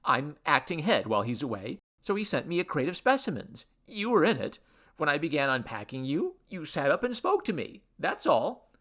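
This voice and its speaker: Man voicing a nervous storybook academic, young male, high, tense, shaky, babbling. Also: wildly swooping pitch, moderate pace, pushed and bright, exaggerated voice. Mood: shaken, resigned.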